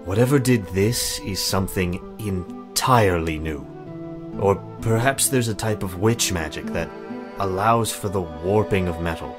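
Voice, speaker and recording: A man acts out a brooding visual novel hero with a low voice. He speaks solemnly and formally, protective and full of regret. Music plays clearly behind him. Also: a little slow. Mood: grave. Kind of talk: visual novel dialogue read aloud.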